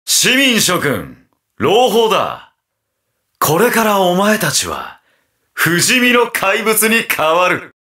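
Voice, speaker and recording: An actor playing a ruthless, mocking undead armored mercenary villain in a video game, with a taunting, theatrical delivery. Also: male voice, high-pitched, wildly swooping pitch, slow, sharp tone, heavily acted. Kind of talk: video game battle voice acting.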